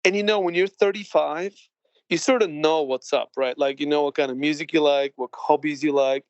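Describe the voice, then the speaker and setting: A man from Bulgaria in his late thirties, a podcast guest telling his story in a chatty, laughing way with halting restarts. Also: fairly high pitch, fairly even intonation, clear, resonant tone, no echo, muffled recording. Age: late 30s. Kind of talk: casual interview chat.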